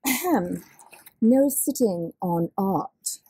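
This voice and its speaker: Woman reading a kids book out loud, really lively, bit breathy, close dry sound. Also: medium pitch, no room sound, low-quality recording. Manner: animated, deliberate delivery with dramatic pauses. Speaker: storybook reader. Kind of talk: expressive picture-book read-aloud.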